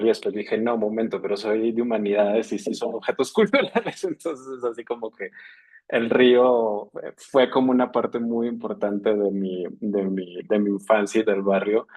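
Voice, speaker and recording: A man's voice: on the low side, darkish tone, husky. A man from Colombia introducing himself casually on a video call, chatty with frequent laughs and fillers. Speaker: workshop participant.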